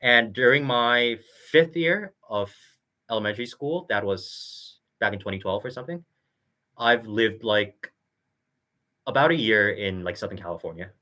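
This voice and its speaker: Low-voiced young man, rambling and lively. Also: normal speed. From South Korea. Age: young adult. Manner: rambling, hesitant, many fillers and restarts. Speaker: student guest. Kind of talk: casual chat.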